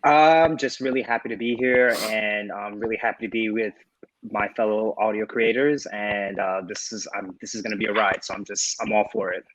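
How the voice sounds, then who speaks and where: A man's voice: fairly low pitch, steady tone, normal speed, fairly bright tone. Man on a panel, noisy mic. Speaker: panelist, audio drama writer and producer. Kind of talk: casual panel remarks. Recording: clearly noisy mic with clicks.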